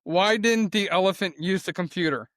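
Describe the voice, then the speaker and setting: A man's voice: high-pitched, normal speed, a bit bright, no room sound, clean studio sound. Hesitant man co-hosting a podcast. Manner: hesitant, many uhs. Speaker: podcast co-host.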